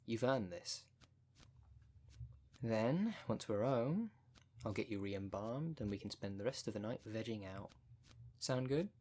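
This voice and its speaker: Casting: man to play necromancer fight coach for ASMR audio roleplay, lowish male voice, wry and caring, casual and colloquial delivery. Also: lively intonation, unhurried, pauses often, a little mellow. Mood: warm, encouraging. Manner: chatty, colloquial, wry, consoling.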